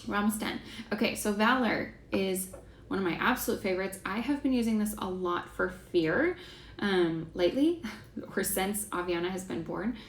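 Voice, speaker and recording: A young woman leads a casual class, chatty and enthusiastic, with plenty of fillers. Her voice has a gravelly fry at the ends of phrases. She is recorded in an echoey room with a faint hiss behind her. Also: medium pitch, animated, normal speed. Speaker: essential oils class host.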